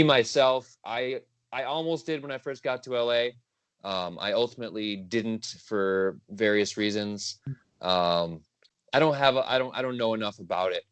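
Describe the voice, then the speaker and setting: A low-pitched man speaks as the guest on a podcast, chatty and rambling, often laughing and correcting himself. The sound is close and dry, with no room echo. His delivery is loose and conversational. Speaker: guest composer. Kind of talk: casual interview chat.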